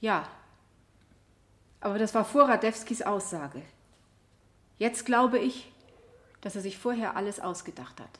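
Troubled, halting wife as witness. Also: female voice, medium pitch, expressive, a bit bright. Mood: subdued. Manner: terse, halting, emotionally strained. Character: troubled married woman, reluctant witness. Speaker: actress in a radio play. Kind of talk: 1970 German courtroom radio drama acting.

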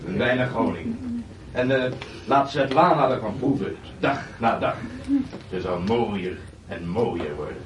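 A man playing a courteous, bold and calm wandering brother in a radio drama. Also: mid-range voice, unhurried, natural voice. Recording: noisy, distant radio play recording.

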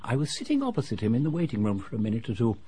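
An actor playing an unassuming but knowing retired English civil servant, older, genteel and confidential, in a radio drama. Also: male voice, mid-range voice, wildly swooping pitch, racing, dark tone, a little hiss or hum. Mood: sly, confiding.

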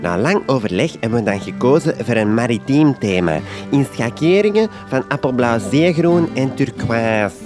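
A man's voice: medium pitch, expressive, normal speed, over music, lightly acted. An actor with a Flemish accent playing a fussy, gushing fisherman in a radio drama. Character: fussy, gushing male fisherman planning his wedding.

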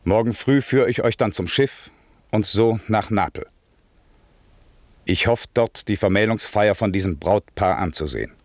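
A man playing a dignified, commanding aging duke and magician in a classic radio play, speaking verse in a measured, stately way. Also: fairly low pitch, no room sound, natural voice. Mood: gracious, solemn.

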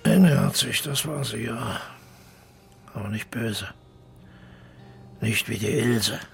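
A man's voice: mid-range voice, slow pace, gravelly, a little hiss or hum. An actor playing a bitter older widowed man in a radio drama, blunt and colloquial, speaking in terse fragments. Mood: brooding, reminiscing. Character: gruff, bitter old widowed drinker defending his friend. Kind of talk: naturalistic radio drama dialogue.

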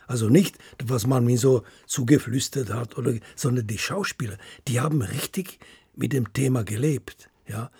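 A man with a husky, gravelly voice giving interview recollections as an author, haltingly, often ending phrases with tag questions. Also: mid-range voice, warm tone, no room sound. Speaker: author of radio plays, guest.

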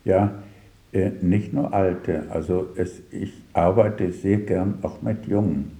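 An older man reflecting on his work as a radio drama director in an interview, pausing and searching for words as he recounts anecdotes. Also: low-pitched, fairly even intonation, mellow, echoey room. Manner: halting, searching for words, pausing mid-sentence.

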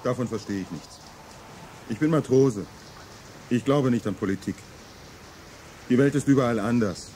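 An actor playing a plain, matter-of-fact sailor in a radio play. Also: male voice, medium pitch, normal speed, long pauses, dark tone, a little hiss or hum, close to an everyday voice. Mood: blunt. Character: plain, unpolitical male sailor.

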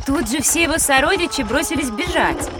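A woman's voice: high-pitched, lively intonation, fairly quick, music in the background. A woman playing an eager, attentive child who helps tell a story in a children's educational audio drama.

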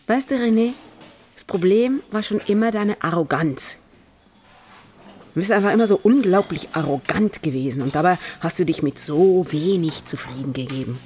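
A woman's voice: on the low side, animated, normal speed, a little mellow, natural voice. An actress playing a reproachful, self-assured woman confronting her former partner in a naturalistic radio drama.

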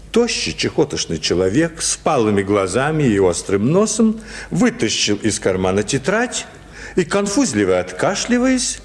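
An actor narrating a comic literary story for Russian radio as a wry, expressive storyteller. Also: male voice, fairly low pitch. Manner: expressive, acts out quoted phrases.

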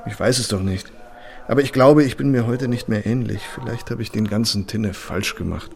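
Gravelly, jovial rogue. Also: male voice, lowish voice, normal speed, darkish tone. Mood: musing, amused. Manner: expansive, playful. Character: jovial, chatty, roguish middle-aged charmer and lodger. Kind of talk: German radio drama acting.

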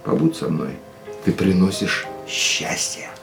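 A passionate, jealous lover in a radio play. He speaks low, intimate and earnest. Faint music plays underneath. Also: steady tone, slow pace, vocal fry, heavily acted. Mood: tender. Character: passionate jealous male racing driver.

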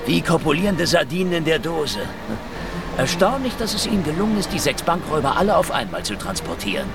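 A wry, brazen man with a gun who gives orders mockingly. His fairly high voice moves very freely in a radio drama, with clear music behind it. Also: sing-song, fairly quick, distant mic. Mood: wry, commanding. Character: sardonic, brazen male gunman on the run. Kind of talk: German radio drama acting.

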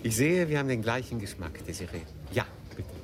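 An actor in a radio play playing a wry, urbane, nostalgic middle-aged bridegroom. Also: male voice, mid-range voice, sing-song, fairly quick, crowd noise, close to an everyday voice. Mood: genial, playful. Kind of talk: 1990s German radio play acting.

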